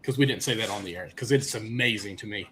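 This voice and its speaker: A man's voice: fairly low pitch, fast, fairly bright tone, a little hiss or hum. A man hosting a casual sports podcast, asking long, rambling questions full of fillers. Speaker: podcast host.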